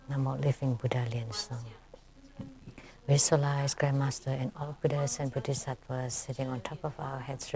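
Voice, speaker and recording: Low-pitched woman, monotone, formal temple emcee, measured liturgical reading. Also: gravelly, rough recording. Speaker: temple emcee leading group practice. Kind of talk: formal ceremonial announcing. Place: large temple hall.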